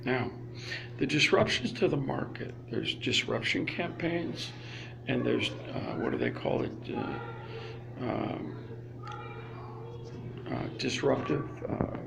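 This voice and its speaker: A man's voice: medium pitch, expressive, slow, vocal fry, long pauses, noisy background. A man rambling through a live stream from inside a public library, full of restarts and asides. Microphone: phone or laptop camera.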